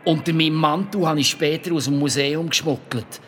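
A sheepish caretaker played by a male actor confesses in a children's radio play. The man's Swiss German voice is a bit high, with faint ambience behind it. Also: brisk, fairly bright tone, a little hiss or hum, close to an everyday voice. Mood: sheepish, confessing.

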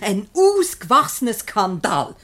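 A woman's voice: medium pitch, sing-song, measured pace, fairly bright tone. A woman with a Swiss German accent playing an indignant, moralising relative in a radio comedy, reproachful and emphatic.

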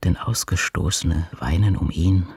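Deep, husky, shadowy inner voice. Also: male voice, unhurried, dry recording, clean studio sound, full character voice. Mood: hushed, mournful. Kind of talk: literary radio drama, recitation.

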